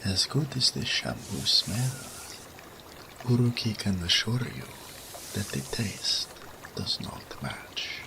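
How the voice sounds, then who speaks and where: A man playing a seductive, possessive and doting male naga in an intimate romantic audio roleplay, speaking slowly in soft murmurs that drop into whispers. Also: lowish voice, sing-song, creaky, background noise, exaggerated voice. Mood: teasing, commanding. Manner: slow, third-person speech, soft murmurs dropping to whispers.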